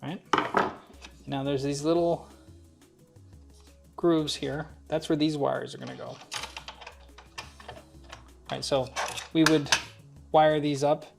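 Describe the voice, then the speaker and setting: A man's voice: a little high, level tone, slow, gravelly, long pauses, music in the background. A man hosting a hands-on build tutorial, talking informally through each step as he works. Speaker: host of a DIY build tutorial. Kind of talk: casual hands-on instructional narration.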